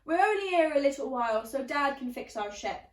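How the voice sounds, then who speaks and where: A woman's voice: high voice, expressive, a little fast. A woman voicing a cheerful, boastful young pirate boy in sing-song rhyming sea-dog talk for a storybook. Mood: breezy, proud. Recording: roomy, distant microphone.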